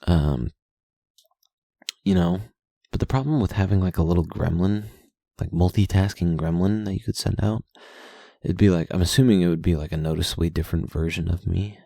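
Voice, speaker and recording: A man's voice: deep voice, moderate pace, gravelly, warm tone, no echo, clean studio sound. A young man casually reading his journal aloud late at night, rambling, swearing and putting himself down. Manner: profane, self-deprecating, rambling.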